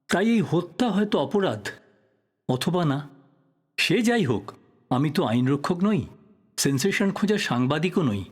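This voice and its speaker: A man playing a thoughtful, polite writer probing a death in an audio drama, speaking in a measured, reflective way. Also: a little high, breathy edge. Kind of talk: Bengali audio drama acting.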